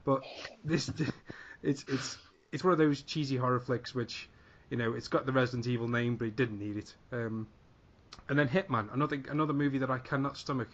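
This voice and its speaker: A man with an average-pitched voice rants casually as a podcast panellist, exasperated and sweary, circling back over his words. The audio is a little dull and lo-fi. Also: brisk, fairly bright tone, a little hiss or hum. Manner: sweary, exasperated, repeats himself. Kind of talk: casual opinionated rant.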